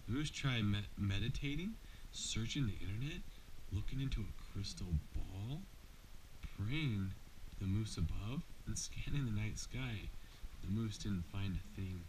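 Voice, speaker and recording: A man's voice: lowish voice, lively intonation, unhurried, darkish tone. A man reading a bedtime storybook aloud to his child, as a father does. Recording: noisy amateur home recording.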